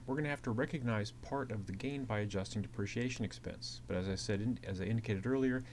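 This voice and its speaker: A man's voice: fairly low pitch, a little mellow. A man giving a methodical explanatory lecture as an instructor, repeating key points as he goes. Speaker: accounting instructor. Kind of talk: explanatory lecture narration over slides. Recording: clearly audible hiss and hum.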